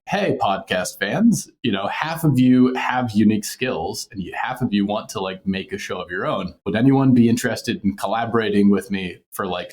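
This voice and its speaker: Guy with a lowish voice being interviewed, chatty and reflective, says like and sort of a lot. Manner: reflective, peppers speech with "like" and "sort of". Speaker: podcast creator being interviewed. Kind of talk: conversational interview answers.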